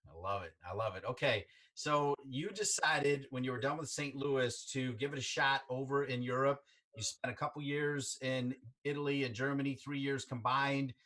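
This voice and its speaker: Male host, upbeat interviewer with an even mid-range voice. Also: medium pitch, normal speed. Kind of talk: casual sports interview.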